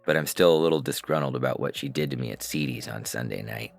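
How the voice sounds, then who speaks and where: A man's voice: rumbling low, fast, vocal fry, faint music behind. A man reading scripted first-person science fiction in a wry, conversational storytelling way. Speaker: narrator and reader.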